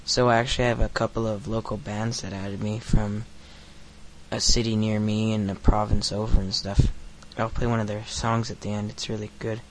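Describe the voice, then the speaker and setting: A man's voice: lowish voice, little pitch movement, moderate pace, slight background noise, low-quality recording. Profane teenage boy ranting on a podcast. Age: teens. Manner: crude, profane, rambling with many fillers. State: recovering from hoarseness. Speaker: podcast host. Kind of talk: casual solo podcast rant.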